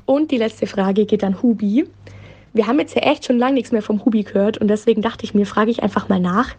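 A woman's voice: medium pitch, a little fast, a little mellow, slightly rough. A woman casually presenting questions for a podcast question-and-answer session. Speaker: question reader.

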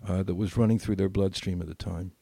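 A man's voice: low voice, steady tone, quick pace, vocal fry, mellow, no echo. An older man telling anecdotes casually as a podcast co-host, acting out bits of dialogue as he reminisces.

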